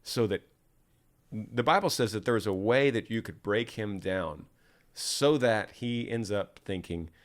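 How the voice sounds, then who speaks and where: A man hosting a podcast, talking in a relaxed way with soft fillers and restarts, and reading listener questions aloud. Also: lowish voice, unhurried. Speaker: podcast host.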